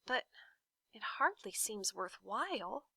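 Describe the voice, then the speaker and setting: A woman voicing a polite, hesitant young boy in a storybook, with a soft, tentative manner. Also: mid-range voice, sing-song, slow pace, creaky, husky, no room sound, full character voice.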